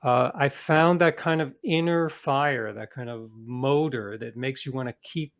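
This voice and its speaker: A middle-aged man speaking as an interview guest, an author telling his story reflectively and conversationally, leaning on key words and trailing off softer. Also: mid-range voice, unhurried. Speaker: guest, author and podcast producer.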